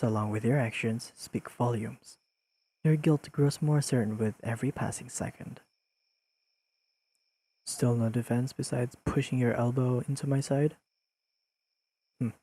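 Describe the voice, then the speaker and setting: A man playing a deadpan, pedantic but secretly fond young friend in an intimate audio roleplay, speaking in precise, dryly teasing sentences to the listener. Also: medium pitch, husky. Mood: mock-stern teasing. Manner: deadpan, precise, formal wording with dry teasing.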